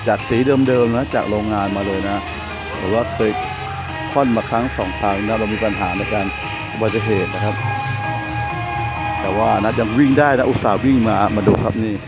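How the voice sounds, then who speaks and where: A man's voice: on the low side, steady tone, normal speed, over loud music. A man giving casual walkaround commentary at an outdoor car meet, chatty and polite, talking into a handheld camera. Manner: chatty, adds polite "na khrap" to nearly every line. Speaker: car show vlogger.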